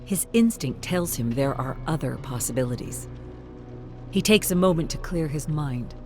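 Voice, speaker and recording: A mid-pitched narrator delivers a scripted thriller story. The delivery is measured and suspenseful, in a dramatic audiobook style. Music plays softly behind the voice. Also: animated.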